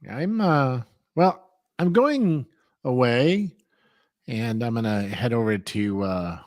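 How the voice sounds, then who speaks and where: Man, co-host, mid pitch, lively intonation, teasing, jokey, dry close mic. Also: slow, dry recording. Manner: joking, teasing, laughs often. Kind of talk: casual chat.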